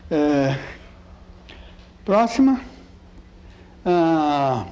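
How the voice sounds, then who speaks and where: An older man born in Italy, with a light Italian accent, giving a physics lecture with slides in a chatty, halting way full of fillers. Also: a little high, darkish tone, highs cut off. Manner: halting, many fillers and repeated words; chatty, keeps asking "né?".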